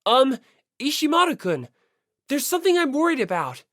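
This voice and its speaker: An audiobook reader voicing a polite, earnest ordinary high school boy who speaks tentatively. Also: sing-song, normal speed, a bit bright, dry recording, studio quality. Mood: tentative, worried. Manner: tentative, mild. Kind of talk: audiobook character voice.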